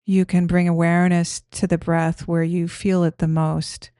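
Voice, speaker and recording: A woman guiding a meditation in a gentle, soothing, unhurried manner, giving simple instructions. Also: lowish voice, level tone, clean tone, no room sound, clean studio sound. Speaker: meditation guide.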